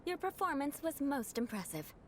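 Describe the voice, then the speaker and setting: A woman's voice: sits high, sing-song, moderate pace, slight background noise. An actress playing a haughty, elegant noblewoman mentor in a video game, with formal, prim, theatrical diction. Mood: composed praise.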